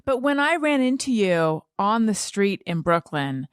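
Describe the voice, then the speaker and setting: Woman, American accent, podcast host, casual chat, rambling and self-deprecating, medium pitch, very dry recording. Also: very animated, a little slow, clean tone, no room sound. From Orange County, United States. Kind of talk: casual conversational interview.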